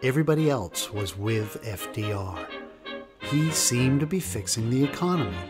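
A man's voice: medium pitch, lively intonation, moderate pace, over loud music. A man narrating history from a script as a documentary narrator.

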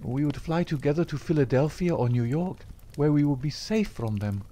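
A man's voice: a little high, animated, normal speed, mellow, a little hiss or hum, pitched above the performer's own voice, lightly acted. A man reading aloud, voicing a young woman who anxiously pleads with her outlaw lover. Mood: pleading. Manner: imploring, breathless, emotional.

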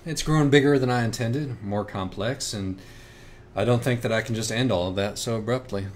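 A man's voice: medium pitch, normal speed, a little hiss or hum, natural voice. A man playing a cerebral, self-critical young writer with a wry, hesitant manner in audiobook dialogue. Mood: troubled, self-critical.

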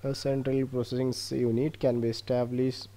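A man with an Indian accent presents a research project in an explanatory way. His voice is relaxed and mellow, sitting at an average male pitch. The phrasing halts slightly as he walks through his slides. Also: moderate pace.

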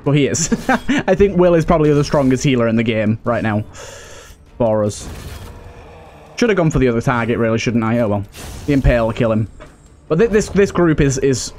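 A man's voice: medium pitch, expressive, brisk, darkish tone, slight background noise. A man giving casual let's play commentary, thinking aloud and repeating phrases for emphasis. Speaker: gaming video host.

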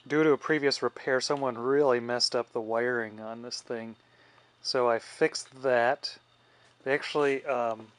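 A man giving a casual technical demonstration, thinking aloud as he works. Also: mid-range voice. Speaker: radio restorer narrating his own repair. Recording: clear background noise.

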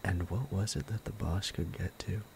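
A man voicing a sadistic male bandit captor in an ASMR roleplay, low and gravelly, often whispering in a menacing, playful way. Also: steady tone, moderate pace, a little mellow, a little hiss or hum. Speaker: voice actor.

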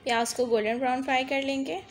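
Cooking host, woman, high pitch, patient instructive voice-over. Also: fairly even intonation, fast, faint music behind. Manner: instructive, repeats "dekhiye" to guide viewers.

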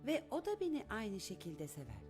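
A woman's voice: mid-range voice, wildly swooping pitch, normal speed, over music. A woman voicing a proper, fretful yet caring lady in a dubbed children's cartoon. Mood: sincere.

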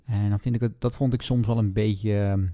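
A man co-hosting a book podcast in casual conversation, talking in a halting way and rephrasing as he goes. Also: fairly low pitch, fairly even intonation, warm tone.

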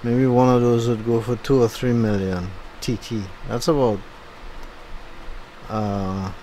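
A man with a Trinidadian accent giving a low-key, unenthusiastic running commentary while driving. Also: steady tone, a little slow, mellow, slight background noise, low-quality recording. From Trinidad and Tobago. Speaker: driving vlogger. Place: inside a moving car.

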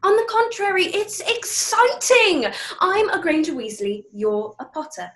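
A high-voiced, bossy schoolgirl. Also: lively intonation, measured pace, fairly bright tone, heavily acted. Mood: assured. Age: young adult. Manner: assertive, precise. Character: confident, bossy eleven-year-old girl, eager and precise. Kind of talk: stage drama table read. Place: plain room at home, video call.

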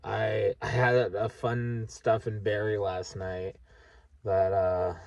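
A man of about thirty, talking frankly and haltingly in a sweary, candid personal vlog monologue. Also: on the low side, level tone, creaky, a little mellow, dry recording, recorded from a distance, lo-fi sound. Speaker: vlogger. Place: inside a parked vehicle at night.

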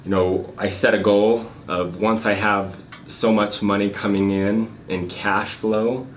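Deep-voiced man, hesitant and halting. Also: level tone. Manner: hesitant, many fillers and restarts. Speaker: guest, real estate investor. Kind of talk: casual interview answers. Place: office meeting room, indoors. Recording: echoey room, faint noise.

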